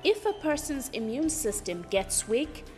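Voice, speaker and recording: A woman's voice: sits high, big pitch swings, unhurried, a little mellow. A woman reading scripted educational documentary narration in a formal, instructional manner. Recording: reverberant sound under clear music. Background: background music.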